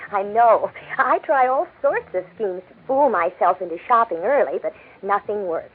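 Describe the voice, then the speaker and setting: A woman's voice: medium pitch, lively intonation, unhurried, husky. An actress playing a chirpy, affectionate young wife in 1940s radio drama, with folksy, girlish phrasing. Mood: cheerful. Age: young adult. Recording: tinny 1940s radio recording.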